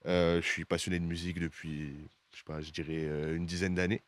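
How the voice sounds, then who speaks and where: A man's voice: deep voice, little pitch movement, brisk. A man in his twenties, a podcast guest, talking casually and hesitantly with many fillers. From France. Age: 20s.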